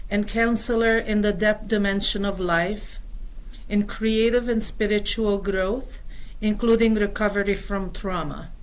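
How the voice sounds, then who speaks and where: A middle-aged woman speaking English with a Hungarian accent as she hosts a community radio show. She reads a prepared introduction slowly and deliberately, weighing every word. Her pitch sits in a moderate middle range. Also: slight background noise, low-quality recording. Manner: slow, careful, deliberate delivery. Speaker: host.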